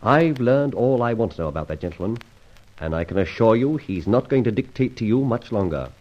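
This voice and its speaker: An actor playing a chivalrous, masked gentleman thief in an old radio drama, speaking in a courtly, commanding, slangy manner. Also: lowish voice, lively intonation, a little fast. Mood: earnest, firm. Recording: dull, lo-fi old radio recording.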